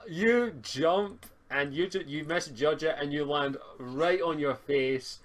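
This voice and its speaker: A man's voice: on the high side, takes it slowly. A Scottish man narrating an online tabletop role-playing game as game master in an informal, casual way.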